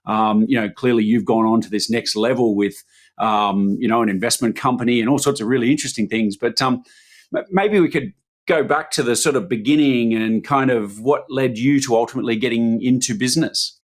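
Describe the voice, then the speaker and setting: A man's voice: mid-range voice, brisk. A man hosting a business podcast, asking long, rambling questions full of ums and restarts. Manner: hesitant, rambling questions with many fillers. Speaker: podcast host. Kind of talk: conversational podcast interview.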